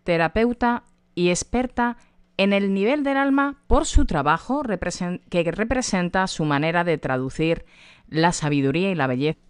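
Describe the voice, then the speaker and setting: A woman's voice: fairly low pitch, normal speed, clean tone, no echo. A woman presenting a conference, introducing the next speaker in a brief, formal way.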